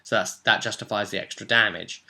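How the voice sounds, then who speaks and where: Bright-voiced man recapping a tabletop game. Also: lowish voice, steady tone, brisk, vocal fry. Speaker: host and game master.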